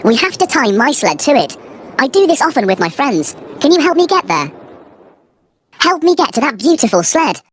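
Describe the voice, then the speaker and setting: A woman's voice: sits high, big pitch swings, moderate pace, background noise. A woman voicing an eager, playful young boy who guides a children's storybook game. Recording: loud music behind the voice. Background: music.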